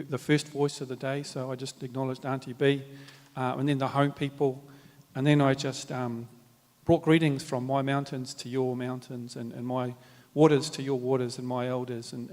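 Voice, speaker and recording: A man with a New Zealand accent, speaking at a live panel in a measured, reflective way with many fillers and restarts. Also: medium pitch, a little mellow. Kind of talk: formal panel talk with Māori greetings. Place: large hall at a live event. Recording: reverberant hall.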